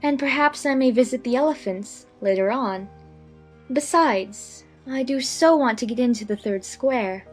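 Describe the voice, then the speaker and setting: A woman's voice: on the high side, expressive, measured pace, faint music behind. A woman playing a curious, polite and slightly timid young girl who talks to herself in a dramatized audiobook. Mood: wondering.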